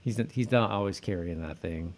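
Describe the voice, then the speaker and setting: Guy with a lowish voice doing casual podcast chat, kinda halting, repeats himself while thinking out loud. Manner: halting, repetitive, thinking aloud. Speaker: podcast co-host. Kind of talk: casual chat about a TV episode.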